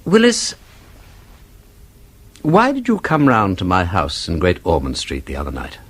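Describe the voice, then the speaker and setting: An actor playing a shrewd, suave gentleman detective in a radio mystery, urbane and drily polite as he probes. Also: sits low, huge pitch swings, measured pace, breathy edge, heavily acted. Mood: probing, needling. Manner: urbane, probing, drily polite, feigning casualness.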